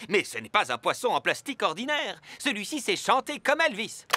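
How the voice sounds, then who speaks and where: High-voiced smooth-talking cartoon fox salesman. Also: big pitch swings, brisk, heavy background noise. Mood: pushy, persuasive. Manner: sales patter, eagerly persuasive. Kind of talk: cartoon dub acting.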